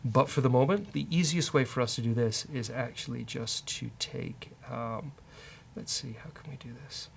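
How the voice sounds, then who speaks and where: A man's voice: mid-range voice, moderate pace, gravelly, a little hiss or hum. A man co-hosting a coding show, talking casually and hesitantly as he thinks aloud while working. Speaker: co-host coding on a software show.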